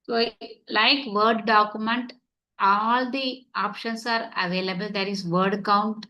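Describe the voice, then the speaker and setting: A woman's voice: mid-range voice, fairly even intonation, takes it slowly, highs cut off. A woman whose first language is Tamil, teaching an online class step by step and checking whether her students follow. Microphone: computer mic on video call.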